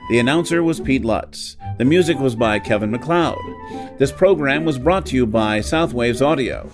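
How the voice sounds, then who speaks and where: Male announcer over loud music. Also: mid-range voice, animated, moderate pace. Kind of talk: scripted closing credits announcement.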